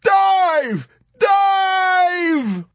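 A man's voice: very high voice, big pitch swings, extremely slow, a bit bright, no room sound, raised voice, pitched above the performer's own voice, heavily acted. A man voicing a hysterical, terrified airman in an audiobook, shrieking and beseeching in frantic frustration. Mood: screaming panic. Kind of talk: audiobook character acting.

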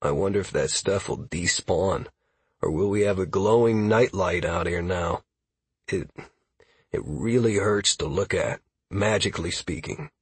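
A man's voice: low-pitched, a little slow, slightly rough, dry recording, heavily acted. A man voicing a weary, sarcastic adventurer who mutters and mumbles in a fantasy audiobook. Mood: tired.

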